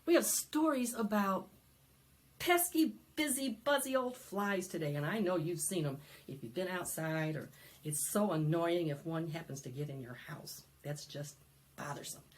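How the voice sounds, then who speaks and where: A woman presenting storytime for young children, singing and reading aloud in an animated, sing-song way that coaxes them to join in. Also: lowish voice, normal speed. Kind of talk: interactive preschool storytime, singing and read-aloud.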